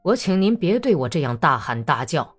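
A woman voicing a defiant male Cossack commander in an audiobook, terse and stubborn, in a low, muffled voice, with music behind. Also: big pitch swings, normal speed, a little mellow. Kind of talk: audiobook character acting.

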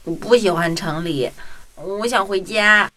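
A woman's voice: medium pitch, a little slow, bright, forceful tone. A woman playing a timid, homesick young country mouse in a children's audio drama.

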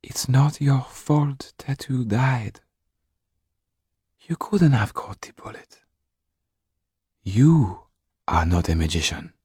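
A man voicing a stern but caring middle-aged woman guardian, firm and at times hushed, with a husky voice. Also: mid-range voice, lively intonation, normal speed, no echo, studio quality, pitched above the performer's own voice, lightly acted. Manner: firm, then hushed and gentle.

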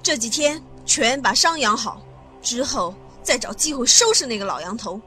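A woman playing a brash, sharp-tongued young female assassin, muttering her thoughts in a fan audio drama. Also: on the high side, sing-song, normal speed, soft background music. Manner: impulsive, sharp-tongued, inner monologue.

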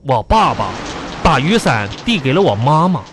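An actor with a Northeastern Chinese accent playing a boastful, teasing older classmate in a live comic radio play, telling things mock-solemnly with drawn-out words. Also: male voice, a little high, sing-song, fairly bright tone, a little hiss or hum. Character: teasing older male classmate, self-important big brother. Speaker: comedy radio drama host. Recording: music behind the voice.

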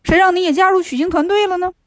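Woman doing a cocky, quick-talking monkey king. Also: very high voice, fairly even intonation, no echo, studio quality, voice pushed up. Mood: teasing. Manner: brisk, teasing, rapid.

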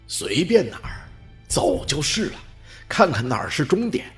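Guy playing eager dog companion in a radio drama, earnest n theatrical, very animated, music underneath. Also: fairly high pitch, moderate pace. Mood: eager, wondering. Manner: earnest, philosophical, theatrical delivery. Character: eager transformed male dog, awed and resolute companion. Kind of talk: dramatic radio play acting.

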